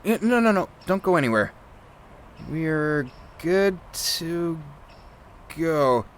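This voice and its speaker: A man's voice: a little high, animated, takes it slowly. A man playing a fussy, exasperated podcast sound technician in naturalistic audio drama dialogue, informal and nagging.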